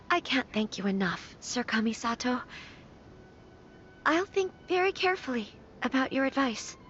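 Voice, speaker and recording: A woman's voice: high-pitched, sing-song, takes it slowly, pauses often, pushed and bright, faint music behind, exaggerated voice. An actress playing a polite, earnest young noblewoman in a video game, formal and a little hesitant. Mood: grateful. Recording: dull lo-fi game stream, faint noise.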